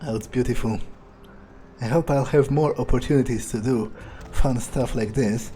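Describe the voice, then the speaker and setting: A man doing in-character game commentary, thinking aloud in a deliberate, dryly musing manner. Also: mid-range voice, dark tone, a little hiss or hum. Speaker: let's player role-playing a vampire character.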